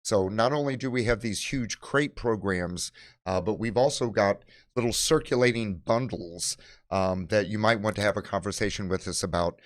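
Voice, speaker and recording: A man giving an unscripted product presentation as a presenter of library kits, speaking in a halting way with many fillers. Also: fairly low pitch, dry recording, clean studio sound. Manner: halting, filler-heavy delivery.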